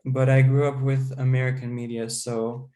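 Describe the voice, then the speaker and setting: Young man, guest in a casual interview, dark gravelly voice, flat delivery, lots of hesitation. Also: medium pitch, normal speed. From Serbia. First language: Serbian. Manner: hesitant, many fillers.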